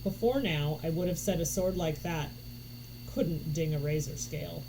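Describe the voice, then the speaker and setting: A woman reading a story aloud in an expressive narration style. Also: low voice, normal speed. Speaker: audiobook reader. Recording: noticeable background noise.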